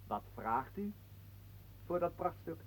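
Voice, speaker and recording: An actor playing a sly, teasing gentleman showman in a radio drama, theatrical, singsong and mock-courteous. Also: fairly high pitch, big pitch swings, slow pace, full character voice, rough recording. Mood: businesslike, sly.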